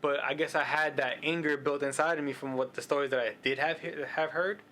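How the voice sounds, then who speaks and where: A man's voice: a little high, steady tone, brisk, pushed and bright, a little hiss or hum. A young man, a podcast guest talking casually about his temper and family in short, plain replies. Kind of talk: casual chat with an old friend.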